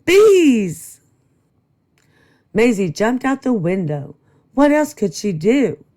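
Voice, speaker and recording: Slow, rhythmic woman storyteller. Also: medium pitch, big pitch swings, mellow. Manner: slow, rhythmic rhyming read. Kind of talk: children's read-aloud narration.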